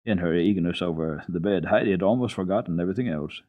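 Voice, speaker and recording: A man's voice: deep voice, fast, mellow. A man giving a dramatic, folksy reading of a children's book as a radio storyteller, on a muffled archival recording. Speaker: storyteller, radio program host.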